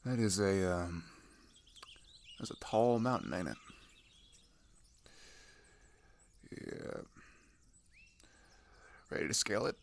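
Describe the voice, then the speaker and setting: A man playing a friendly, chatty teenage migrant boy in an ASMR roleplay, talking casually and haltingly with pauses and sighs. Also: low-pitched, animated, vocal fry, heavily acted. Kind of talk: ASMR roleplay, one-sided conversational acting.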